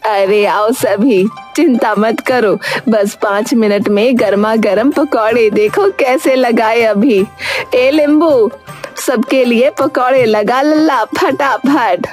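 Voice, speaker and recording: A woman's voice: a little high, slow pace, darkish tone, soft background music. A woman voicing a kindly, busy old village grandmother who sells snacks in a cartoon story, folksy and hospitable. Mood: brisk, hospitable. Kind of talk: Hindi cartoon story acting.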